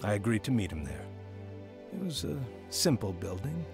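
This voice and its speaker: A man's voice: fairly low pitch, big pitch swings, normal speed, creaky, over music. An actor playing a proud, remorseful husband and father who tells his own story in an audio drama. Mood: reflective narration. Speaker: lead actor and first-person narrator. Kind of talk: dramatised testimony radio drama.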